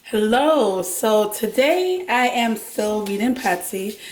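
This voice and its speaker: Hoarse woman chatting about her reading. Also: fairly high pitch, slow, echoey room. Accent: Jamaican. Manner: laughs often, relaxed chatty talk. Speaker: vlog host. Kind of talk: casual chat about books and cooking.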